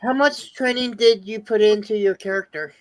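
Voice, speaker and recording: A woman's voice: medium pitch, normal speed, a little hiss or hum, poor audio. Curious woman interviewing a guest. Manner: curious, asks questions directly. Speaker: podcast host. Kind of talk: interview questions.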